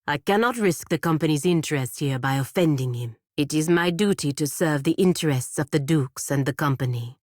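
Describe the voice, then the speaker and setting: An actress playing a proud, duty-bound godlike knight in a video game, speaking formally and gravely. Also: female voice, deep voice, brisk, hardly any pauses, a little mellow, dry recording, studio quality.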